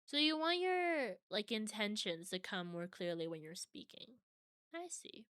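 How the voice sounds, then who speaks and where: A woman's voice: mid-range voice, animated, unhurried, fairly bright tone, clear, resonant tone, dry recording, clean studio sound. A young adult woman streaming from home, chatting casually with viewers and answering their comments.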